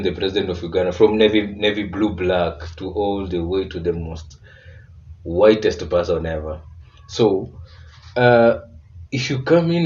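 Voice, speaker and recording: A man with a Kenyan accent hosting a reaction video in casual commentary, relaxed and searching for words with emphatic bursts. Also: on the low side. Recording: distant muffled mic with faint hiss.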